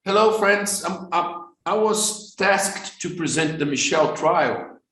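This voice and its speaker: A man with a Brazilian Portuguese accent, a vascular surgeon giving a fast webinar lecture in English that is not his first language, often repeating words as he restarts. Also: high voice, expressive, a bit bright, reverberant, poor audio.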